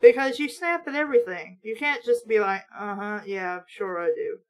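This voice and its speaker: A woman's voice: medium pitch, moderate pace, pitched below the performer's own voice, natural voice. A woman voicing a teasing, blunt schoolboy friend in a storybook read-aloud. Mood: blunt, chiding.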